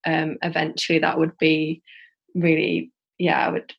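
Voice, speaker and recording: A woman's voice: low-pitched, monotone, a little slow, vocal fry, husky, muffled recording. A woman with a Yorkshire accent, talking through her plans as an interview guest, rambling and restarting as she thinks aloud. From Yorkshire, England. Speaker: guest, social enterprise founder. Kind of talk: casual interview chat.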